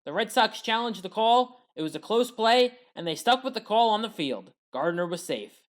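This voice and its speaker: A woman delivering a scripted play-by-play recap as a sports highlights commentator. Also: animated, normal speed.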